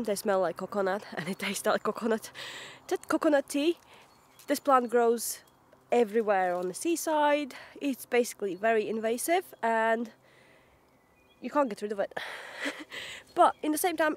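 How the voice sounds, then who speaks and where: A woman in her thirties chatting casually in a vlog, giggling and thinking aloud as she goes. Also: a little high. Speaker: homesteading vlogger. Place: woodland outdoors, then home kitchen. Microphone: camera mic, handheld close then fixed camera.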